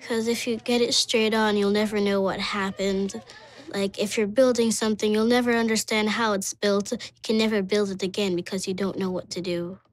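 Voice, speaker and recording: Flat-toned matter-of-fact woman. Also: medium pitch, moderate pace. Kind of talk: interview.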